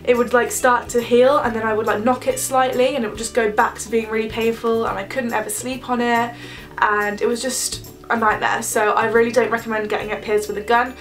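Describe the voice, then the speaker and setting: A woman's voice: fairly high pitch, little pitch movement, brisk, distant mic, soft background music. A young woman with a stuffy, nasal voice from a cold, chatting casually to camera as a vlogger, rambling with lots of ums. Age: young adult.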